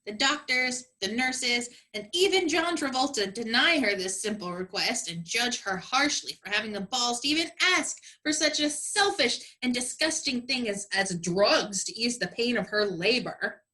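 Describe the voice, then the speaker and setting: Woman, comedic narrator, sassy, emphatic, high bright voice. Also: lively intonation, normal speed, hardly any pauses, rough recording. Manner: emphatic, theatrical.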